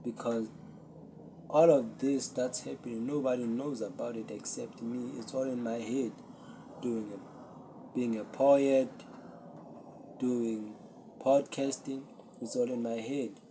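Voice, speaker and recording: A young man, a Zulu speaker, hosting his own podcast and talking earnestly and reflectively to a camera with many pauses. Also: mid-range voice, steady tone, dark tone, slight background noise, low-quality recording. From South Africa. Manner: slow, earnest, self-searching, with pauses. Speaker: podcast host. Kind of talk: solo reflective talk to camera.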